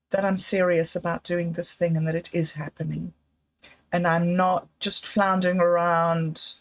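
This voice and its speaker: Slow, musing New woman. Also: a little high. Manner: hesitant, musing, self-doubting. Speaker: livestream host. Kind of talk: casual livestream monologue. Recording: slightly dull lo-fi audio.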